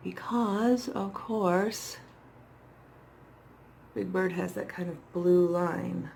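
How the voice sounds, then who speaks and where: A middle-aged woman with a hoarse voice, chatting casually and playfully to herself as she demonstrates makeup, often poking fun at herself. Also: lowish voice, fairly even intonation, extremely slow, darkish tone, slight background noise. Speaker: makeup vlogger. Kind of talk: casual makeup tutorial chat, talking to herself while working. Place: small indoor setup with dark backdrop.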